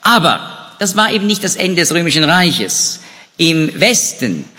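Animated man lecturing in an echoey hall. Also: a little high, unhurried. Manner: lively, animated delivery with hesitations. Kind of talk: lecture on European history.

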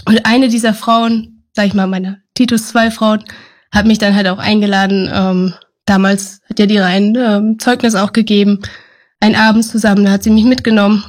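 A woman's voice: medium pitch, level tone, normal speed. A woman talking as a podcast guest about her role on a ministry team, chatty and loose, with many fillers. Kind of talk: podcast interview.

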